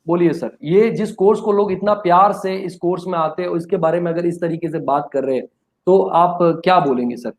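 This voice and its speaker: Impassioned male teacher, slightly high voice. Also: fairly quick. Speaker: exam coaching teacher. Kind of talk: casual chat.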